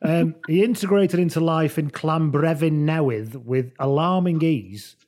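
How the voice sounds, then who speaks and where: A man's voice: fairly high pitch, a little slow, darkish tone. Wry man telling comic stories. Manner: wry, colloquial, sweary. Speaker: podcast co-host. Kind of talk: casual comic storytelling.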